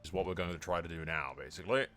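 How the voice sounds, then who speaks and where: A man giving casual commentary on a roleplay strategy game, animated and irreverent, with sweary asides. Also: deep voice, racing, soft background music. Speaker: gaming video narrator.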